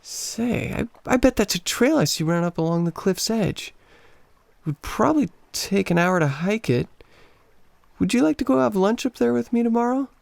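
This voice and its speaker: An actor playing an eager, smitten American teacher on holiday in a radio play, boyish and halting in his enthusiasm. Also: high-pitched, expressive, vocal fry, no echo. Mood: excited, eager.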